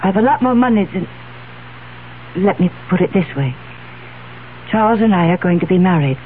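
A woman's voice: fairly low pitch, animated, normal speed, narrow, tinny audio, lightly acted. A cool, wealthy fiancée, played by a woman who speaks with controlled insistence and schemes without flinching. It is vintage radio drama, heard through a thin, faintly hissy recording. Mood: firm, businesslike. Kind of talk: 1970s radio drama acting.

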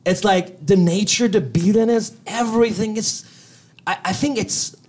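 A young man from Turkey with a Turkish accent, a guest in a casual interview, talking in an excitable, animated way with a little nervousness and frequent laughs. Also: high-pitched, normal speed, husky.

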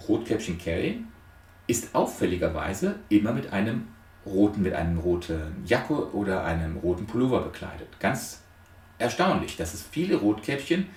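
A man giving an explanatory lecture with storytelling, speaking deliberately with dramatic emphasis. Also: lowish voice, darkish tone. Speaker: lecturer on psychotherapy. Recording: roomy echo, distant microphone.

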